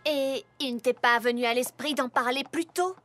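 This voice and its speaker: Cartoon pony princess: young, high voice, polite, composed, light music behind. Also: female voice, normal speed, a bit bright. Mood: puzzled, polite. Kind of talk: French animated series dub.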